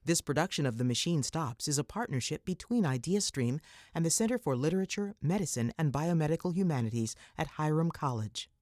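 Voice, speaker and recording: A man's voice: a little high, animated, brisk, a little mellow, dry recording, clean studio sound. A man announcing a radio production in a scripted introduction.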